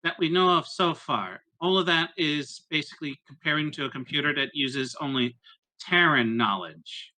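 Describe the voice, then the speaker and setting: A man in a tabletop roleplaying game, speaking casually in character, blunt but often pausing to think. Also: a little high, bright, forceful tone, poor audio.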